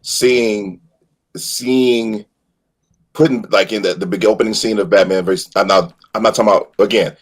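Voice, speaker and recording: A man in an animated, casual fan discussion, repeating phrases for emphasis and stumbling over his words as he goes. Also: fairly low pitch, normal speed, slightly rough, low-quality recording.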